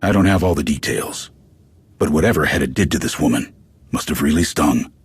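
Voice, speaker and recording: Very deep, gravelly stern commander. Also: male voice, lively intonation, fairly quick, no room sound, heavily acted. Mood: measured, persuasive. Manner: firm, blunt, authoritative. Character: stern gravel-voiced male military clan commander. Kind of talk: video game cutscene acting.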